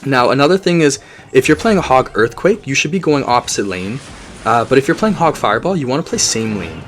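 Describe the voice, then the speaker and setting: A slightly hoarse man with a cold, giving chatty gameplay commentary and tips with jokey asides. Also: medium pitch, a little hiss or hum. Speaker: gaming video creator.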